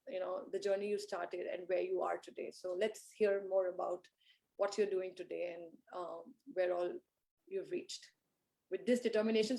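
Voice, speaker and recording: A woman's voice: mid-range voice, vocal fry, mellow, low-quality recording. A woman interviewer with a mid-range voice asks her guest questions. She sounds admiring and a little hesitant, filling gaps with "uh" and "you know". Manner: admiring, hesitant with many fillers. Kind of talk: conversational interview.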